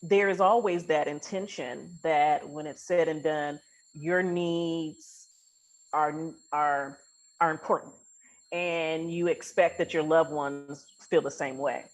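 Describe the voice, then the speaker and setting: Woman podcast host, kinda low voice, casual convo, affirming and explains stuff. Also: fairly even intonation, slow pace, poor audio. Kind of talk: casual interview conversation.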